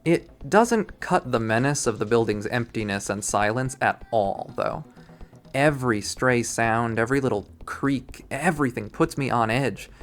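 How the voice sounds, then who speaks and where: A man reading a visual novel aloud in expressive first-person narration. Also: mid-range voice, measured pace. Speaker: visual novel readthrough player.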